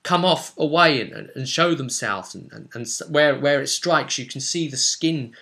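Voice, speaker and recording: Forceful, bright-voiced man narrating fantasy combat. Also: medium pitch, normal speed, vocal fry. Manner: theatrical, with short punchy phrases. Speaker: game master. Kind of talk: dramatic narration of a tabletop role-play.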